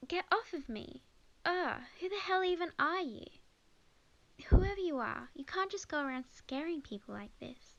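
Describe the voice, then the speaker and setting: A woman playing a young witch, sweet, flustered and chatty, in an ASMR roleplay, speaking expressively and haltingly. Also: sits high, highs cut off, heavily acted. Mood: startled, annoyed. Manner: halting, expressive, talks to herself. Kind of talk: ASMR roleplay audio drama monologue.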